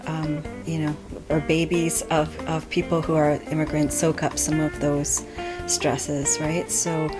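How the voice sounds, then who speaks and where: A woman's voice: low voice, little pitch movement, unhurried, music in the background. A middle-aged woman being interviewed as an author, answering thoughtfully with frequent ums and restarts. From Canada. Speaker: author guest.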